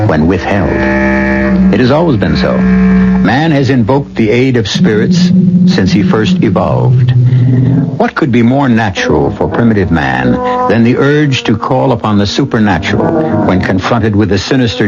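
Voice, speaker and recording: Ominous, low-voiced male mystery narrator. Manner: ominous, theatrical, deliberate phrasing. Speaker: host and narrator. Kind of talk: dramatic scripted radio mystery narration. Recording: dull old radio recording, loud music. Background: dramatic music.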